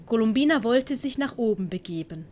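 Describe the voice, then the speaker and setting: A woman's voice: fairly high pitch, expressive, brisk, a little mellow, a little hiss or hum. A woman narrating an audio drama in scripted storytelling style.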